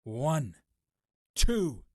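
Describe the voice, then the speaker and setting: A man voicing a hot-tempered, ruthless young warrior with a husky voice in an animated cutscene. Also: a little high, drawn-out words, no echo, studio quality, heavily acted. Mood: menacing.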